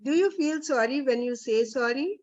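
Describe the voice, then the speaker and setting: A woman's voice: high voice, animated, normal speed, darkish tone, muffled recording. A woman coaching a reader's theatre session, polite and encouraging, with many hesitant restarts. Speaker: reading workshop facilitator.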